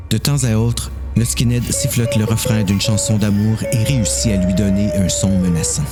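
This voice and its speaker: A man with a Quebec French accent reading a suspense story in a measured, deliberate way as the narrator of an audio drama. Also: on the low side, steady tone, loud music behind the voice.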